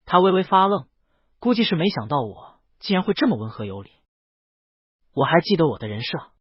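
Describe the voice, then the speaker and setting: Animated, slightly husky male storyteller. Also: on the high side, a little fast, dry recording. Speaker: audiobook narrator. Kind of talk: scripted first-person story narration. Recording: dry, dull lo-fi recording.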